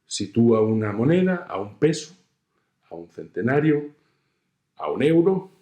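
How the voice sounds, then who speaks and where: A middle-aged man with a Spanish accent from Spain lectures slowly, pausing often between phrases. He explains his point patiently with everyday examples. The room carries a noticeable echo. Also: mid-range voice, big pitch swings, dark tone. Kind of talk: recorded university lecture.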